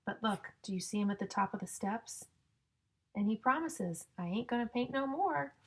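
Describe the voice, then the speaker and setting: A woman's voice: fairly high pitch, animated. Sing-song woman reading to children, noisy recording. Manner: sing-song, exaggerated delivery with drawn-out words for young children. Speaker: children's story-time reader and teacher. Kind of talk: children's picture book read-aloud with questions and explanations.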